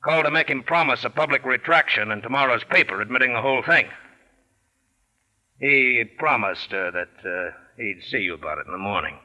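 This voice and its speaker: A man's voice: mid-range voice, expressive, brisk, sharp tone, poor audio. An actor playing a shrewd, dogged police captain in 1940s radio style, blunt and needling as he presses a suspect. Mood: firm revealing.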